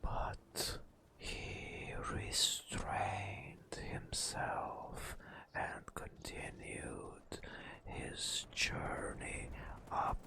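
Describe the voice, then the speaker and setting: A man narrating ASMR in a whispery, breathy voice, with drawn-out, theatrical storyteller phrasing. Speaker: gaming ASMR host.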